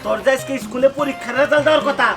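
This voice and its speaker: A woman's voice: high-pitched, very fast, gravelly, music in the background. A woman voicing a fretful, questioning mother in a comic cartoon, with a Bangladeshi accent. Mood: worried, questioning. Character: concerned, questioning mother of a wayward girl. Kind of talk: comic Bengali cartoon drama.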